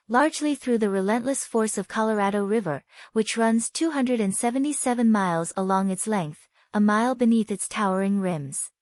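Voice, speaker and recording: Mid-pitched woman reading aloud, very dry sound. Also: darkish tone, dry recording. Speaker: reader of practice texts. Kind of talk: scripted read-aloud exam practice passage.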